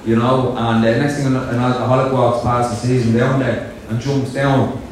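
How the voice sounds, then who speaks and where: A man's voice: mid-range voice, flat delivery, normal speed, recorded from a distance, a little hiss or hum. A young man with a Dublin Irish accent telling his own recovery story from a stage, nervous and self-joking, with lots of fillers. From Dublin, Ireland. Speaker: speaker sharing his story at a convention. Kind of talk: personal recovery talk. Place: large hall on stage. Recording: echoey hall, picked up from afar.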